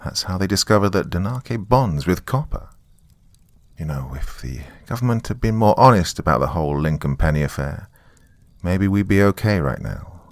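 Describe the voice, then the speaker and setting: A man's voice: low-pitched, animated, moderate pace, no echo. A man narrating a first-person horror story in a conversational, wry way.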